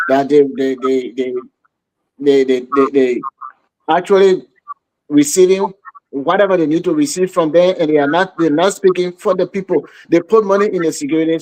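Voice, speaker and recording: A man talking on a radio show, speaking haltingly with many repeated words and fillers. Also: fairly high pitch, a little mellow, no echo, poor audio. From Liberia. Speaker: guest. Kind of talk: radio talk show discussion. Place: radio studio.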